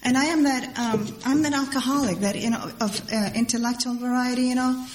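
A middle-aged woman from Iceland, giving personal testimony to a crowd in a halting, rambling way and repeating phrases for emphasis. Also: fairly high pitch, steady tone. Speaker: speaker at a recovery meeting. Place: large meeting hall before a crowd. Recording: echoey hall with background noise.

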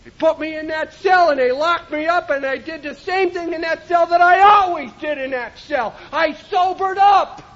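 High-voiced impassioned man on old tape. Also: moderate pace, few pauses, muffled recording. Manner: impassioned, often shouting with emphasis. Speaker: recovery speaker. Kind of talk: personal testimony at a recovery meeting.

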